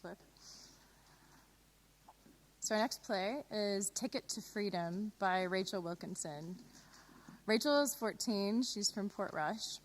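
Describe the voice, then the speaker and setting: A woman's voice: medium pitch, takes it slowly, long pauses. A woman presenting radio plays, reading a scripted introduction at a live event. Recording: clearly noisy live broadcast recording.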